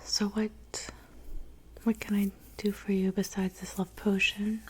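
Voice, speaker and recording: A woman playing a calm, helpful woodland witch in a soft, whispered ASMR roleplay, unhurried and murmuring. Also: mid-range voice, a little hiss or hum, close to an everyday voice. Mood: calm, welcoming. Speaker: ASMR performer.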